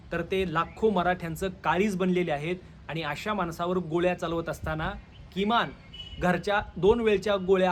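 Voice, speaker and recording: A man's voice: sits high, a little hiss or hum. A man with a Marathi accent from Maharashtra delivers confrontational, rhetorical opinion commentary straight to his viewers. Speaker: video commentator, channel host.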